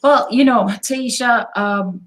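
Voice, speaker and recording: A woman hosting an online interview session, reading a measured introduction and then asking long, winding questions. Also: fairly high pitch, fairly bright tone. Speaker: host.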